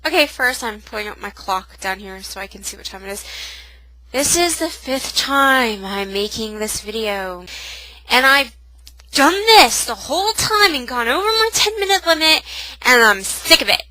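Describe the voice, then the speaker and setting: A woman's voice: fairly high pitch, very animated, moderate pace, bright, forceful tone, dry recording, low-quality recording. A young woman with a cold, sounding nasal and sniffly, chatting in a casual, self-mocking home vlog. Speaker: vlogger. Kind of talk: casual pregnancy update vlog.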